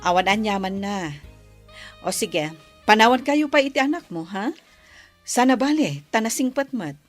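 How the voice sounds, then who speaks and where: A kindly, encouraging madam from an Ilocano radio play, acted by a woman. Her mid-pitched voice swings expressively and carries an Ilocano accent, with soft music behind. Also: animated, normal speed, close to an everyday voice. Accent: Filipino, Ilocano. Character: kindly, encouraging adult woman, addressed as ma'am. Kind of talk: Ilocano radio drama acting.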